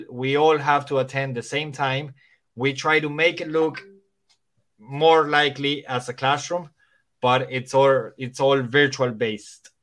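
A man's voice: mid-range voice, a bit bright. A man whose first language is Spanish, speaking English with a Spanish accent and some Irish and American touches, an English teacher chatting casually on a podcast, rambling with restarts. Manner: rambling, many restarts and fillers.

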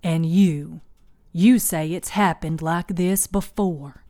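Woman voicing a slow, sardonic old country healer. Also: on the low side, steady tone, mellow, no room sound. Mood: grave, plainspoken. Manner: folksy, plainspoken, dry. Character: sardonic older woman healer, folksy and world-weary.

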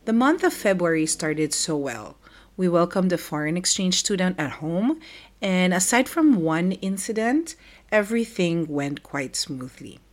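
A middle-aged woman hosting a podcast, telling a personal story in a candid, wry, conversational way, her voice still slightly rough after the flu. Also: on the low side, sing-song, unhurried. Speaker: podcast host and life coach. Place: home.

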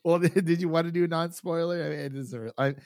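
A man's voice: a little high, fast talker, a little mellow, no echo, studio quality. A man hosting a film review podcast, chatting in an informal, enthusiastic, rambling way. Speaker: podcast host. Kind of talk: casual film review chat.

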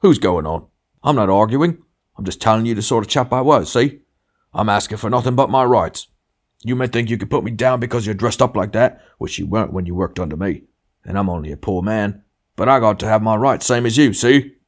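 A male narrator puts on a big, blustering working-class ghost for a storybook. He is sulky, self-righteous and forever arguing, pushed up higher and louder than plain narration, with indignant demands punctuating his speech. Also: brisk, lightly acted. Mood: aggrieved. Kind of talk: literary audiobook character dialogue.

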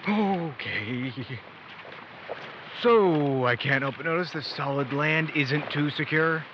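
A man's voice: on the high side, slow. Man as wry young skeptic, noisy muffled sound. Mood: wary. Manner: wry, casual. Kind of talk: audio drama acting, conversational. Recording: slightly dull, clearly noisy.

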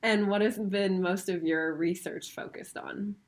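A young woman interviewer asking questions in an encouraging way, quick to laugh. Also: medium pitch, steady tone.

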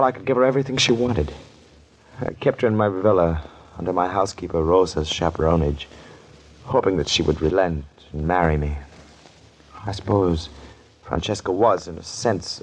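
A man's voice: low-pitched, slight background noise, low-quality recording. An actor playing a bitter, jealous rich man, theatrical and intense, in 1940s radio drama style. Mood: regretful, brooding. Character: bitter, jealous wealthy villain obsessed with a woman.

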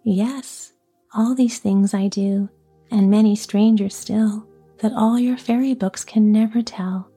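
Woman whispering as a gentle little fairy. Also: mid-range voice, steady tone, slow pace, slightly rough, soft background music. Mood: tender. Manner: soft whisper.

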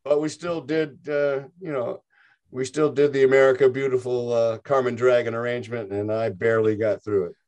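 A man's voice: medium pitch, fairly even intonation, fairly quick, darkish tone. An older man in a casual interview, chatting in a rambling way with frequent restarts. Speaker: interview guest, musician.

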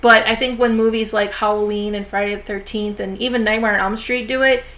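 A woman hosting a casual horror film review podcast, chatty and full of "like" and "you know" fillers, talking a bit slowly because her jaw is sore. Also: mid-range voice, steady tone, fairly bright tone.

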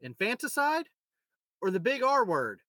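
A man with a high, bright voice co-hosts a podcast. His delivery swings up and down in lively fashion as he jumps in with playful, casual banter. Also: measured pace, dry recording, clean studio sound. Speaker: podcast co-host.

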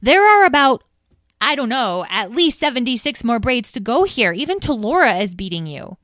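A woman doing a bossy, high-pitched girl. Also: very animated, moderate pace, bright, forceful tone, dry recording, clean studio sound. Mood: impatient. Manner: impatient, commanding.

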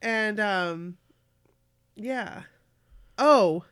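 A woman losing her voice, chatting casually on a podcast about a film, filler-heavy and animated. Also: mid-range voice, extremely slow, clean tone, no room sound, studio quality. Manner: chatty, says "like" often, animated quotes. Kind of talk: casual movie discussion.

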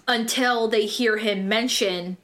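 A woman's voice: fairly high pitch, takes it slowly, fairly bright tone. A woman in her twenties co-hosting a podcast, telling stories in a casual, giggly, slangy way with plenty of swearing. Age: 20s. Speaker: true crime podcast co-host. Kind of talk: casual conversational storytelling with profanity.

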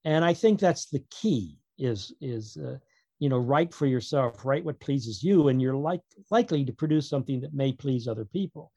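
A man talks as a guest author in a casual interview. His delivery is halting and tends to digress, with a wry sense of humor. His voice has a middling pitch and a very dry sound with little room in it. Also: animated, dark tone, no room sound.

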